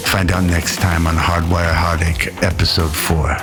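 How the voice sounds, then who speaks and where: A man narrating a scripted sci-fi radio drama in an old-school, measured storytelling manner. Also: sits low, little pitch movement, music in the background.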